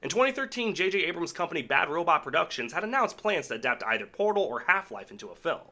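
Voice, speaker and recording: A man's voice: on the high side, big pitch swings, fast talker, sharp tone. A man hosting an online video essay, giving sarcastic, irreverent commentary with casual swearing. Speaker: video host. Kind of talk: video essay commentary on cancelled game movies.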